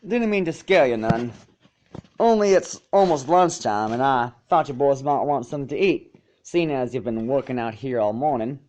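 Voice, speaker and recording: A man's voice: mid-range voice, animated, normal speed, darkish tone, close to an everyday voice. A man voicing a cheerful, friendly female farm pony in a story. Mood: bashful, friendly.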